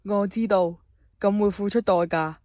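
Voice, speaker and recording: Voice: medium pitch, normal speed, background noise, natural voice. Resolute male patriot general, amateur actor, declamatory and earnest, medium register, radio drama. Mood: grave, resolute.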